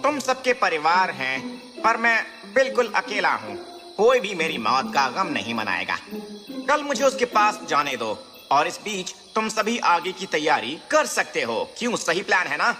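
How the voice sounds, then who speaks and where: A small, crafty cartoon rabbit acted by a man with a high, very animated voice. He sounds polite and humbly deferential. The voice rings with long reverb over music. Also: a little slow. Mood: brave, resigned. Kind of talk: animated children's fable acting.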